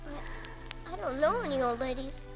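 Brave little boy in old radio play, high voice, music behind. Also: expressive, moderate pace, poor audio. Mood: anxious, brave. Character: devoted, plucky young boy, trying to be brave. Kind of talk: 1940s radio drama acting. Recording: old 1940s radio recording, music underneath.

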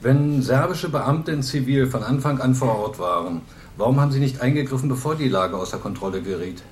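A sharp, challenging reporter, played by a man, pressing an official with direct questions. His voice is mid-pitched and sounds like it is in a roomy, reverberant space. Some faint noise lies under it. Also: expressive, brisk, lightly acted. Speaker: journalist at a press conference. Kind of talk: radio drama acting.

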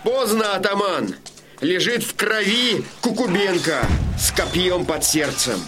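An actor playing an alarmed Cossack soldier in an audio drama, calling out urgently. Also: male voice, sits high, animated, measured pace, over music. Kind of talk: theatrical audio drama acting.

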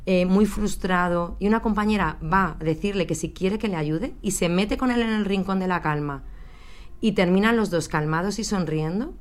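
A woman primary school teacher speaking in a heartfelt, measured way in a recorded testimony. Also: medium pitch.

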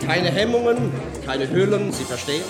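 An actor playing a flirtatious, cynical middle-aged doctor in a science fiction radio play, with a smooth, polished and provocative manner. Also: male voice, high-pitched, lively intonation, moderate pace. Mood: flirtatious, suave. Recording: radio drama with loud music behind.

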